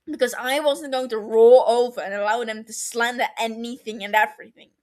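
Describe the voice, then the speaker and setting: A woman voicing a defiant, exasperated runaway elder brother in an audio story, forceful, indignant and defensive. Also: a little high, fairly even intonation, a little fast, natural voice.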